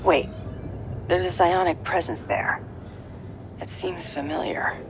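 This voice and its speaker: A woman's voice: lowish voice, fairly even intonation, slow pace, over music. A woman playing a determined, guarded covert soldier in a video game, terse and controlled in her delivery. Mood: focused. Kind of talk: video game drama acting.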